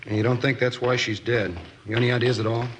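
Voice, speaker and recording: Man playing rapid-talking American radio detective. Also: medium pitch, level tone, lightly acted. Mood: inquisitive. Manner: clipped, questioning. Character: inquisitive, businesslike male police detective, junior partner. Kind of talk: 1950s radio acting. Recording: faintly noisy old radio recording.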